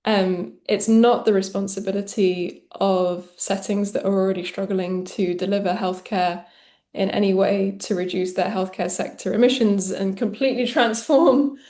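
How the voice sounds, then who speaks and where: A woman presenter answering an audience question on a video call, talking quickly with "like" and "um" fillers. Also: mid-range voice, fairly even intonation, warm tone. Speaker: panel presenter.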